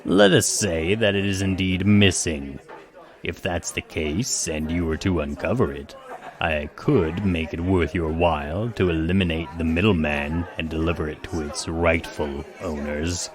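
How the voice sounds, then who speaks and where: An actor playing a scheming client in an audio drama, formal and measured, with an insinuating delivery. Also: male voice, deep voice, steady tone. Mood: sly, formal. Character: smooth, scheming male client with veiled offers. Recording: crowd murmur behind.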